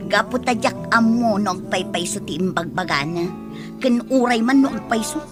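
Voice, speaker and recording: A woman's voice: medium pitch, animated, moderate pace, lightly acted. A woman acting a guarded, hesitant young woman being courted in a radio drama. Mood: doubtful. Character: guarded young woman, courted but wary of drinking men. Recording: music under the voice.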